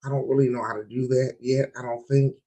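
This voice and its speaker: A man hosting a live-streamed podcast, his voice hoarse and raspy, opening with loud hype before settling into easygoing, rambling chat. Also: medium pitch, level tone, fairly quick. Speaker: podcast host.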